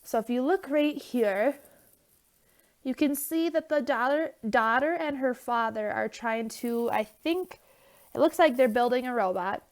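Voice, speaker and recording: Young woman teacher, stuffy nose, chatty and casual, somewhat high, phone-recorded. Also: unhurried. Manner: loose and chatty, many fillers and okay check-ins. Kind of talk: casual remote lesson.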